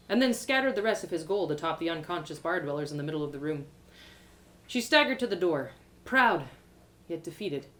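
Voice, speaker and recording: Woman storyteller reading her own fantasy tale, really dramatic, pitch all over the place. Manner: dramatic, animated, with exclamations and sighs. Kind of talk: expressive first-person storytelling, live reading.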